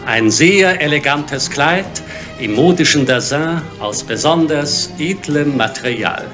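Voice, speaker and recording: An actor playing a middle-aged fashion show presenter, giving smooth, boastful, emphatic sales patter into a handheld microphone. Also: male voice, fairly high pitch, expressive, slow. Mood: smooth selling. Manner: showy, emphatic sales delivery. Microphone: handheld microphone on stage. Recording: music under the voice.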